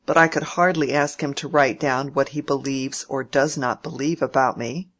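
A proud young gentleman who stays formal and courteous, as a storybook reader voices him. His speech is measured and well-mannered, with a sulky edge. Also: medium pitch, fairly even intonation, natural voice. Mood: polite defensive. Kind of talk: audiobook character reading.

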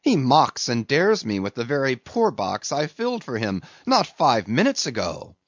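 A man's voice: mid-range voice, wildly swooping pitch, moderate pace, no room sound, lo-fi sound. A man voicing a stern, indignant whaling first mate who commands his boat with intense urgency, as an audiobook character.

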